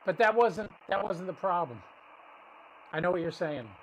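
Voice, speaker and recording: A man's voice: high-pitched, expressive, moderate pace, a bit bright, slight background noise, poor audio. A man giving casual live commentary as a radio stream host, thinking aloud and explaining as he goes, often restarting his sentences. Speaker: ham radio livestream host.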